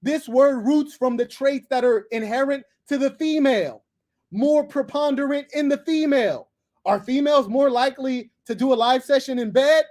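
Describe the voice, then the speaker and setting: A man's voice: very high voice, normal speed, darkish tone. A man from Los Angeles hosting a livestream, insulting and cursing at a caller in a heated, domineering way. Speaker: livestream host. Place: abroad on livestream.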